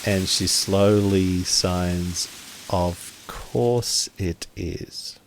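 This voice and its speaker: A man acting as game master, narrating a tabletop roleplaying game in a deliberate, halting way and relaying what characters say. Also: sits low, steady tone, slight background noise.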